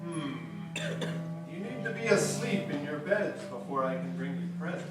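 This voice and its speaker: Man as jolly old gift-giver, gentle, fairly high male voice, puppet show, very echoey. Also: lively intonation, moderate pace, lightly acted. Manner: gentle, reassuring, explaining. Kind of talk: children's puppet show acting. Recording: echoey, distant, music behind.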